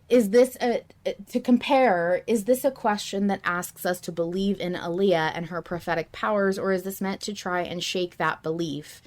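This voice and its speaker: Woman casually talking things through, lowish voice. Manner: hedging, thinking aloud. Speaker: podcast host. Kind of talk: casual discussion of a fiction podcast.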